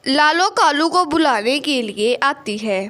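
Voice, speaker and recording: A woman's voice: high-pitched, lively intonation, takes it slowly, fairly bright tone. A woman narrating a scripted children's story.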